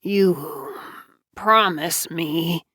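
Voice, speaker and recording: A woman voicing a gentle dying man in an audiobook, speaking weakly and haltingly with tender, loving strain. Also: fairly low pitch, dry recording, clean studio sound, heavily acted. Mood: strained, consoling. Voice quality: weak, strained. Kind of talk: dramatic audiobook character acting.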